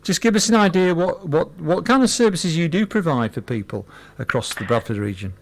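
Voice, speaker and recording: A man's voice: a little high, animated, brisk. A middle-aged or older man, interviewing a guest conversationally on the radio.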